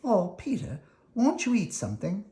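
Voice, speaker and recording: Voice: medium pitch, huge pitch swings, moderate pace, dark tone, voice pushed up. A reader voicing an anxious, fussing mother from a children's picture book, fretful and questioning. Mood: fussing, worried.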